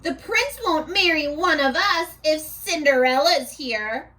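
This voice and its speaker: A woman's voice: high-pitched, lively intonation, slow pace, bright, forceful tone, exaggerated voice. A woman voicing a wicked, scheming stepmother in a children's storybook, with dramatic delivery. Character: wicked scheming stepmother and her screeching daughter.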